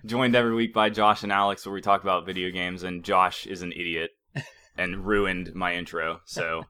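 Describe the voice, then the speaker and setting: A man's voice: deep voice, fairly even intonation, moderate pace, sharp tone, smooth. A young man hosting a casual podcast, chatting and joking with friends. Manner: joking, laid-back banter. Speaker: podcast host. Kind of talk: casual chat.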